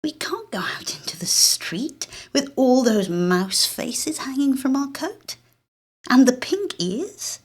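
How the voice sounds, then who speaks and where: A high-pitched young girl, played by a woman reader, the bossy elder sister in a storybook tale. She speaks with scornful emphasis and great self-importance. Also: big pitch swings, slow pace, breathy edge, exaggerated voice. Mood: outraged.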